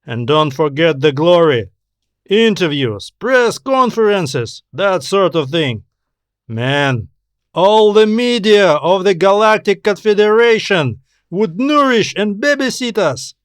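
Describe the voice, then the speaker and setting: A man's voice: sits high, animated, slow pace, no echo, clean studio sound, voice pushed up. A man voicing a brash, irritable male space explorer with a dismissive, slangy, emphatic delivery in an audio story. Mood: dismissive, eager.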